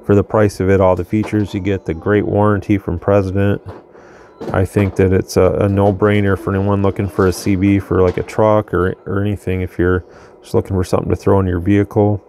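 Deep gravelly guy rambling through a radio demo, faint hum in the room. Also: brisk. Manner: relaxed, thinking aloud, restarts. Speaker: radio reviewer. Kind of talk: casual product demo. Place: workbench in a home radio room.

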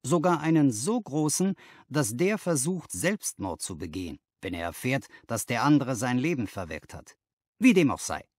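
A man voicing an excited, commanding detective in an audiobook, with emphatic exclamations. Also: medium pitch, moderate pace, dry recording, studio quality. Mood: assured, commanding. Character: excited, commanding male detective, sharp and assured. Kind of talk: audiobook character dialogue.

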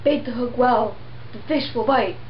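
A woman's voice: fairly high pitch, normal speed, highs cut off, slight background noise. Young woman reading a puppet play. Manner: reads several parts in a plain, earnest way. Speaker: amateur sock-puppet performer. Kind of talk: amateur puppet-show reading of a classic play. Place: indoor room behind a sheet backdrop.

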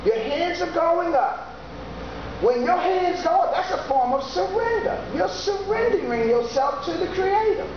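A preacher giving an informal sermon, talking slowly and conversationally with frequent "yeah" and "you know". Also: mid-range voice. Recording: echoey, dull recording with steady background noise.

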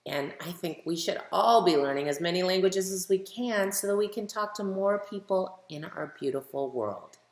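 A middle-aged American woman, a children's author, reads a picture book aloud and speaks directly to young listeners with emphatic, animated delivery. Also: on the low side, normal speed, hardly any pauses. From United States. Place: medium living room at home, indoors. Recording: roomy, echoey home recording.

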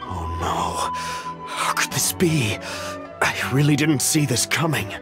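A man's voice: medium pitch, big pitch swings, takes it slowly, music in the background. An actor playing an anxious middle-aged official and fretful father in a video game, flustered, earnest and explanatory. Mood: doubtful, dismayed.

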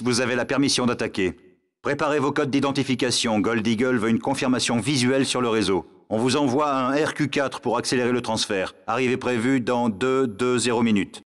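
An actor voicing a stern male command operator in a video game, formal and measured over the radio. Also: mid-range voice, a bit bright. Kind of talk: scripted military radio chatter.

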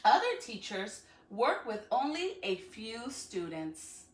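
Female educator for a children's read-aloud, higher voice, encouraging delivery, picked up at a distance from the mic. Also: big pitch swings, takes it slowly, a little hiss or hum. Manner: encouraging, addressing children with questions. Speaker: school educator reading to students. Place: living room at home, indoors. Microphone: phone or camera a few feet away. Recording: echoey room, distant from the microphone.

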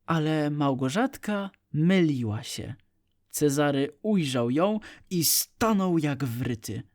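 A man reads a children's story as an audiobook narrator. His voice sits a little higher than most men's as he delivers the scripted tale. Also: animated, takes it slowly, a little mellow, no echo, studio quality.

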